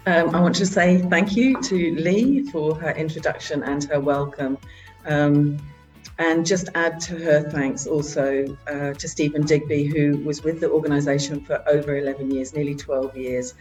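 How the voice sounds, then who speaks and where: A woman who heads a charity, presenting at a virtual annual meeting in a halting way, with frequent filler sounds. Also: deep voice, fairly even intonation, darkish tone, soft background music, rough recording. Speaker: head of a charity organisation.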